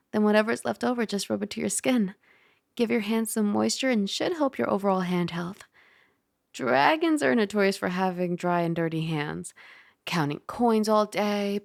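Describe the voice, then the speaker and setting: A woman voicing a caring young dragon roommate in a soft ASMR roleplay, gently bossy and teasing as she gives close-mic instructions. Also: mid-range voice, creaky, lightly acted. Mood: mildly exasperated. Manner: gently bossy, teasing, puzzled by human ways. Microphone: close ASMR microphone.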